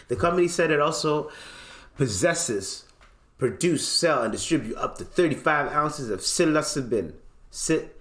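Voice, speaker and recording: A man hosting a podcast, reading news aloud theatrically and commenting in casual, playful slang. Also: on the high side. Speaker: podcast host. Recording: echoey, roomy sound.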